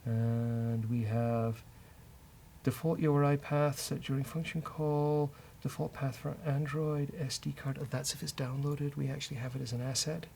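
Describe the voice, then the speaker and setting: A man with a creaky, gravelly voice talks through his code as he goes. He is casual and a bit hesitant, often muttering to himself. There is faint noise behind him. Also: mid-range voice, little pitch movement, normal speed, warm tone. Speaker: developer and show host. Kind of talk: casual live coding commentary, thinking aloud.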